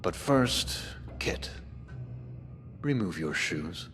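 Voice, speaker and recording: Man playing a deep-voiced, pompous, menacing keeper. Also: sing-song, heavily acted. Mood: commanding, contemptuous. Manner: pompous, formal, measured, menacing. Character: cold, domineering male keeper, a zealot slaver. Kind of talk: dramatic video game dialogue. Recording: long reverb, loud music behind.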